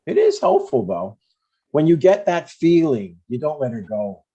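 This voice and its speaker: An older widowed father played by a man at a table read, earnest but blunt. His voice is husky and mellow, swinging freely in pitch as he haltingly gives advice. The recording is dull and lo-fi. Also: huge pitch swings, full character voice. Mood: insistent. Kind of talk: scripted dialogue, comedy table read. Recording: dull, lo-fi sound.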